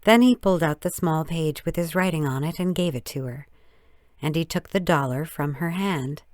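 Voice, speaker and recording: A woman reading a story as scripted narration, in a measured, careful storytelling manner. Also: low-pitched, darkish tone, no room sound, clean studio sound. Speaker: audiobook narrator.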